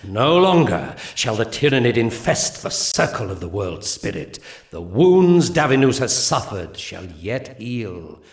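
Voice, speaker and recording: A man's voice: on the low side, big pitch swings, unhurried, hardly any pauses. A man playing a solemn alien seer in a video game, delivering grandiose, archaic briefings to warriors with prophetic gravity. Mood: solemn, proud. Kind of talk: scripted game mission briefing. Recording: roomy echo, distant from mic.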